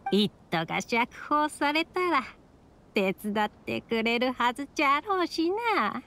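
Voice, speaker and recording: Slow-talking old granny. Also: female voice, a little high, a bit bright, heavily acted. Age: older. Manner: slow, old-fashioned elderly phrasing. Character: kindly, proud old granny caretaker, archaic elderly speech. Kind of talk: video game character acting.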